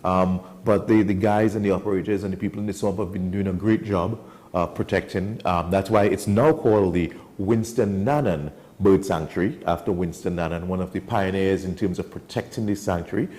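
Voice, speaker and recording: Mellow, low-voiced Trinidadian man. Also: brisk. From Trinidad and Tobago. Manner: enthusiastic, storytelling. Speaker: wildlife sanctuary guest. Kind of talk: casual studio chat. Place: TV studio.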